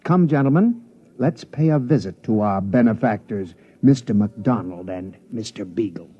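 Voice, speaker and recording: A man's voice: fairly low pitch, animated, moderate pace, heavy background noise, close to an everyday voice. An actor in a 1950s radio drama playing a formal, precise male miner, on an old radio recording. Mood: dry formal. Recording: dull old radio broadcast.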